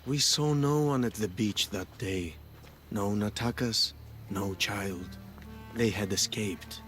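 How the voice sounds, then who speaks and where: An actor playing a philosophical, quietly menacing cult storyteller in a video game, speaking in a measured, eloquent, theatrical way. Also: male voice, lowish voice. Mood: calm, grave. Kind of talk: video game dramatic acting. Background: music.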